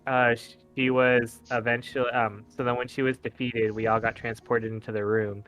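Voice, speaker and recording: A man's voice: mid-range voice, flat delivery, normal speed, muffled recording, soft background music. A man playing a tabletop game, telling a casual, rambling recap in an informal way.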